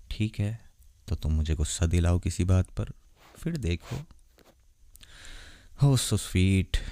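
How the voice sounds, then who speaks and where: Casting: male actor as ancient vampire lover for ASMR roleplay. Deep, dark-toned, soft-spoken, tender and lonely. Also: dry recording. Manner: soft, intimate, gentle, unhurried. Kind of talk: romantic ASMR roleplay, addressed to the listener.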